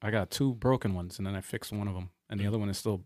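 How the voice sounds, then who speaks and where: A man co-hosting a podcast in casual chat, easygoing and agreeable with animated exclamations. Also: on the low side, fast, no room sound, clean studio sound. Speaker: podcast co-host.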